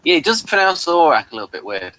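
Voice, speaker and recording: A man chatting casually as a podcast reviewer, in a hesitant, halting way. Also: fairly high pitch, big pitch swings, pushed and bright.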